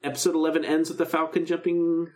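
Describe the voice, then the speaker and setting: A man's voice: a little high, completely flat delivery, fast talker, a little mellow. Casual, enthusiastic male podcast co-host. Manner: enthusiastic, acts out quoted dialogue. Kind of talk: casual podcast chat, recapping a drama.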